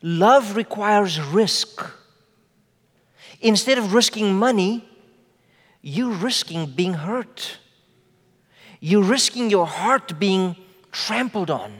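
A man whose first language is Afrikaans preaches from the pulpit with a fairly high voice, slowing down to lean on key words and asking questions of the room. A long reverb from the hall trails after his phrases. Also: animated, husky. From South Africa. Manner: measured preaching with slow emphatic phrases and rhetorical questions. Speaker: pastor. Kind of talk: sermon.

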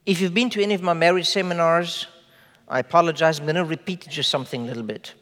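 A man whose first language is Afrikaans, preaching a sermon in measured, emphatic phrases with rhetorical questions. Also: a little high, fairly even intonation, fairly bright tone, long reverb tail. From South Africa. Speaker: pastor.

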